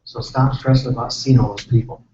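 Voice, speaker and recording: A man's voice: medium pitch, steady tone, fairly quick, darkish tone. A man from San Francisco, a chiropractor, giving a casual, self-deprecating seminar talk and asking the audience questions. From San Francisco, California. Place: seminar hall. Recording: echoey hall, distant mic, dull sound, faint noise.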